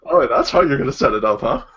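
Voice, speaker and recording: A man's voice: mid-range voice, wildly swooping pitch, a little fast, a bit bright, husky. A man chatting casually as a guest on a stream, a little flustered and repeating himself. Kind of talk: casual chat. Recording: dull voice call audio.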